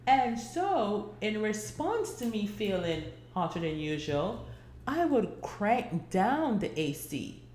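A woman's voice: mid-range voice, very animated, takes it slowly, darkish tone, reverberant, a little hiss or hum. A woman in her forties hosting a casual solo podcast, chatty and rambling, with many fillers and restarts. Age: 40s. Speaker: podcast host.

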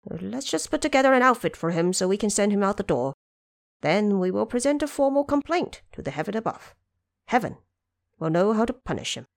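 A woman's voice: medium pitch, very animated, fairly quick, gravelly, no room sound, studio quality. A woman voicing a cautious, scheming younger male dragon king in an audio drama, urging restraint. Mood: urgent caution.

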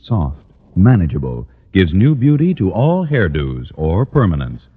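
A man reading a 1940s radio advertisement in a husky voice. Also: low voice, huge pitch swings, measured pace. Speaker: commercial announcer. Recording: tinny, narrow-band old radio recording.

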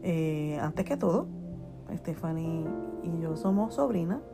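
Middle-aged woman, Puerto Rican accent, slightly low creaky voice, casual church chat, music clearly behind. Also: animated, slow. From Puerto Rico. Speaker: podcast co-host, worship minister. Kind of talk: casual chat with religious interjections.

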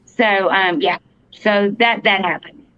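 Lady w a fairly deep voice, earnest and halting, dull lofi podcast sound. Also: fairly bright tone, clean tone, no room sound. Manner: earnest, halting with fillers and restarts. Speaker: podcast co-host, paranormal investigator. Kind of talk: casual storytelling. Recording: dull lo-fi podcast audio, dry.

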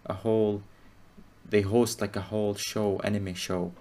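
A man's voice: on the low side, little pitch movement, measured pace, mellow. A man hosting a casual chat, asking long rambling questions full of fillers. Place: Qatar.

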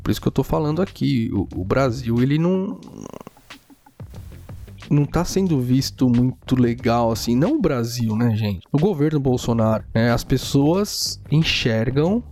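Brazilian male podcaster, gravelly and mellow, loose conversational monologue. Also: medium pitch, expressive, moderate pace, faint music behind. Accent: Brazilian Portuguese. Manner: chatty, rambling, frequent "né?" tags. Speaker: podcast host. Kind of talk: casual solo podcast monologue. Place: home in a small town.

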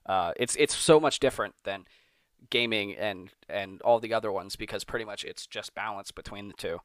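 A man's voice: on the low side, moderate pace, no echo. A man hosting a podcast interview, talking in long, rambling, enthusiastic stretches. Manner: rambling, enthusiastic, repeats himself. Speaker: podcast host.

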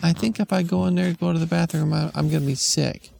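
A man voicing a bored, grossed-out young girl who whines and complains in a high voice. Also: steady tone, fast talker, gravelly, darkish tone, slight background noise, poor audio. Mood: whiny. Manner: whiny, complaining, high-pitched.

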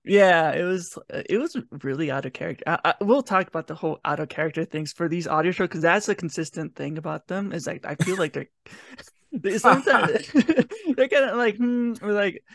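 A man's voice: high voice, normal speed, creaky, fairly bright tone, no echo, clean studio sound. A man co-hosting a podcast, giving opinions in a casual, hesitant, conversational way. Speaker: podcast co-host. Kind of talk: casual review chat. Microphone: online video call recording.

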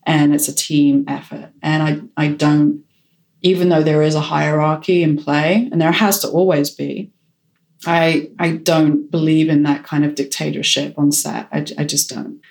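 Low-voiced woman, decisive and frank. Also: steady tone, normal speed, vocal fry, darkish tone. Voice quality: strong voice. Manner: decisive, frank, self-assured. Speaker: director and photographer. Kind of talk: interview answers.

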